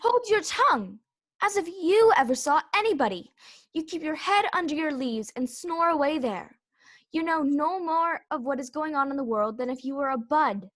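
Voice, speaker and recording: A woman's voice: high voice, lively intonation, moderate pace, fairly bright tone. A woman voicing a bossy, haughty talking tiger lily in a children's read-aloud play, with an imperious, scolding manner. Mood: scolding, commanding.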